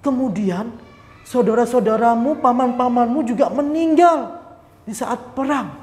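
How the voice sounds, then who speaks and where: A man voicing a solemn friend who delivers grim news in a low, measured voice. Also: darkish tone. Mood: grave. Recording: echoey hall with faint ambience.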